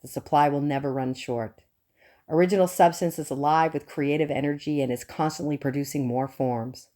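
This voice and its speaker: Low, mellow woman reading an audiobook. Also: fairly even intonation, moderate pace. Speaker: audiobook reader. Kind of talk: scripted audiobook narration.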